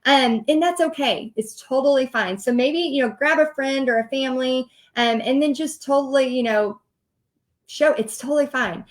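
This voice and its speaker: A middle-aged woman with a Texan accent, talking straight to her viewers like a coach on a casual livestream. Her voice sits high, and she chats along directly and laughs often. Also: normal speed. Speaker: host teaching paint party business.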